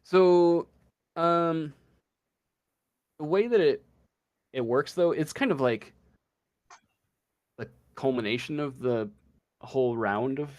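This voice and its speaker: A man acting as game master, improvising a tabletop story in a casual, halting way as he searches for words. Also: on the high side, a little mellow, muffled recording.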